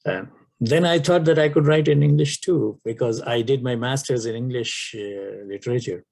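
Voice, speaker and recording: An older man from Pakistan, whose first language is Urdu, answering interview questions slowly with many pauses and fillers. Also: mid-range voice, vocal fry, darkish tone. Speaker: author guest.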